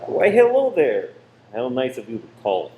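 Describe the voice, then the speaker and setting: Performer playing nervous secretive man, medium pitch, guarded, polite, radio play, roomy echo. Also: huge pitch swings, normal speed, darkish tone. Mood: guarded cordiality. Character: uneasy, guarded cinema owner and secret saboteur. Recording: echoey room with faint noise.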